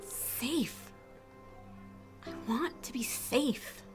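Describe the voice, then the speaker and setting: A woman's voice: on the high side, moderate pace, music in the background, natural voice. A woman playing a sardonic, weary and emotionally raw young woman in a scripted audio drama. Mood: weary, longing. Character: defiant, weary young woman, sarcastic survivor. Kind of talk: scripted audio drama acting with first-person narration.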